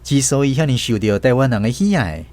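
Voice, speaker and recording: A man with a Taiwanese accent giving expressive, scripted storytelling narration for a radio drama. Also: medium pitch, fairly quick. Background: plucked guitar music.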